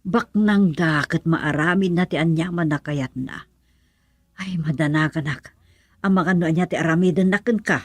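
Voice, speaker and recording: Husky, worried mother. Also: sits low, normal speed, dry recording. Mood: worried, cautioning. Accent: Filipino. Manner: anxious, cautioning. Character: worried, protective mother of a young man, resigned. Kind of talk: radio drama acting.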